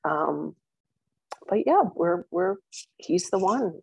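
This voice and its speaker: Middle-aged woman speaking as an interview guest in a low, gravelly voice. She talks openly and warmly, filling her pauses with ums. The sound is close and dry. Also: huge pitch swings, no room sound, poor audio. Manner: chatty and open, with many ums and warm asides. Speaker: interview guest, coaching client. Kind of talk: candid conversational interview.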